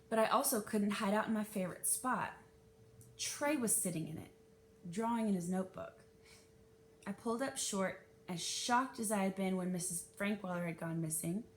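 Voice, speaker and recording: A woman reading a children's novel aloud as an expressive narrator. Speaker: read-aloud reader. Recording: noisy with low rumble.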